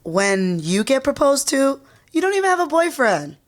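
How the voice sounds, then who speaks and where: Dry, sarcastic Ellie. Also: female voice, high voice, big pitch swings, moderate pace. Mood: sardonic. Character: Ellie, dry, sardonic young woman. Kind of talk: podcast sitcom acting. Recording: live audience laughter behind. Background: studio audience.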